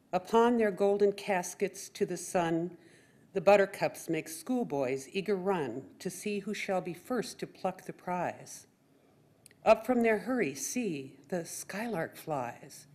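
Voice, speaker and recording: Older woman, lowish voice, slow verse reading. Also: a little mellow. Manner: measured, deliberate. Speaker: poetry reader. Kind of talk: reading a poem aloud to an audience. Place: library meeting room. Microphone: gooseneck podium microphone.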